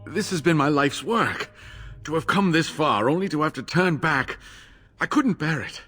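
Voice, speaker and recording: An actor playing a wounded, devoted elderly scholar in a video game, speaking in a halting, earnest way. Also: male voice, on the high side, expressive, a bit bright, slightly rough, faint music behind. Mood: earnest, pleading. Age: older.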